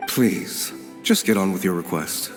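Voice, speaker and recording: A man's voice: low voice, expressive, unhurried, music in the background. A man playing a guarded, cynical underworld figure in an anime game, dry and knowing in his delivery.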